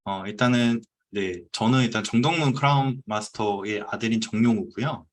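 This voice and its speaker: A man around thirty giving a relaxed, conversational talk on a video call, pausing often with "uh". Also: fairly low pitch. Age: 30s. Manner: relaxed, conversational, frequent "uh" pauses. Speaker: guest speaker presenting his business story. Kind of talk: casual presentation on a video call. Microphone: computer mic on a video call.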